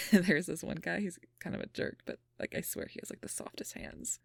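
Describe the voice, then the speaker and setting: A performer playing a sarcastic, protective young supernatural hunter in an intimate audio role-play, with casual, wry and often breathy banter. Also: medium pitch, fairly even intonation, normal speed, no room sound, clean studio sound. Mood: wry, reassuring.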